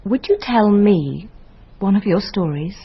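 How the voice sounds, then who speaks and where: An actress playing an earnest, naive eighteen-year-old bride in a radio drama, deferential and hesitant, with a husky voice. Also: female voice, medium pitch, animated, measured pace, dull audio. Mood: curious, deferential.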